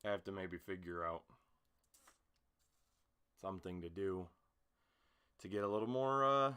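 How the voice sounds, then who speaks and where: A man's voice: deep voice, animated, measured pace, sharp tone, clean tone. A man chatting casually to camera as an amateur voice actor, rambling in a hesitant, self-conscious way. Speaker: amateur voice actor recording an audition video. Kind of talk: casual vlog chat. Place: small home room with a mattress against the door.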